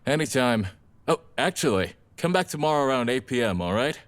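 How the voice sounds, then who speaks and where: An actor playing a laid-back, friendly young vampire gang member in an audio drama, talking in casual slang. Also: male voice, medium pitch, expressive, brisk, close to an everyday voice. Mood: friendly, easygoing.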